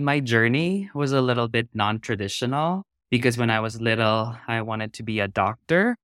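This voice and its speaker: The guest on a career podcast, a thirties man with a lowish voice, telling his story in a dry-sounding recording. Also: a bit bright, no room sound. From Philippines. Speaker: podcast guest, pharmacy resident. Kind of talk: interview, recounting career.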